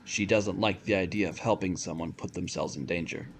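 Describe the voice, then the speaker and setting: A man's voice: sits low, brisk, a little hiss or hum. A man narrating a scripted audio drama in descriptive storytelling prose. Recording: loud music under the voice.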